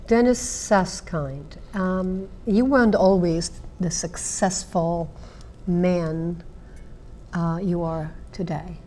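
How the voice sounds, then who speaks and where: A woman hosting a television interview, asking slow, deliberate questions in a chatty manner. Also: lowish voice, dark tone. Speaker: talk show host. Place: seaside inn.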